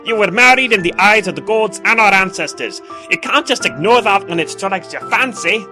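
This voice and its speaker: Man acting as a gruff dwarf cleric, stubborn and duty-bound, cartoon dub, clear music behind. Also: high voice, expressive, normal speed, pushed and bright, exaggerated voice. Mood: indignant. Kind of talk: animated comic fandub acting. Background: prominent music.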